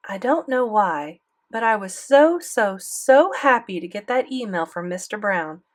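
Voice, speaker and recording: A defensive young schoolboy, played by a woman confides his side of an audiobook story. He talks colloquially at a middling pitch, keen to be approved of. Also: expressive, measured pace, close to an everyday voice. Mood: elated, confiding. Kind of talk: first-person audiobook narration.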